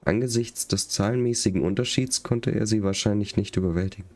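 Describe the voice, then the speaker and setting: A man's voice: on the low side, fast, darkish tone. A man doing casual live gaming commentary, chatting to viewers and reading game text aloud. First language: German. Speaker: game livestreamer.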